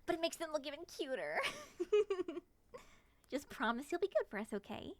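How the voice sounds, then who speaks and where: A woman playing an excitable, affectionate moth monster girl in an ASMR roleplay, gushing and sweetly possessive. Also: sits high, moderate pace, no echo. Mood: cooing, eager.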